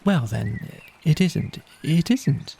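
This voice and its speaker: A man's voice: a little high, expressive, slow pace, vocal fry, mellow, slightly rough, pitched above the performer's own voice, exaggerated voice. A man voicing a polite, candid little storybook mole with an earnest, cautious and agreeable manner. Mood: soothing. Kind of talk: storybook character voice in an audio play.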